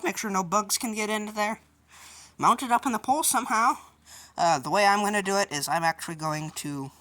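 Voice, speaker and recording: A woman's voice: fairly low pitch, pushed and bright. A woman giving a casual hands-on tutorial in an informal, offhand, colloquial way. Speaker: DIY video host. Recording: clear background noise with clicks.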